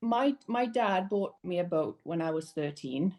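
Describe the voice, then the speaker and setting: A young woman from Norway, a guest on a podcast interview, talking casually and relaxed, laughing easily and restarting her sentences now and then. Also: fairly low pitch, a little slow. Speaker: guest, powerboat racer.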